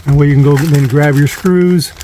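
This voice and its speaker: A man's voice: a little high, fairly even intonation, normal speed, dark tone. A man narrating a casual hands-on how-to in an informal, rambling way, repeating himself as he goes. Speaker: vlogger showing a DIY installation. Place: inside and around a parked van. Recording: noisy handheld recording with clicks.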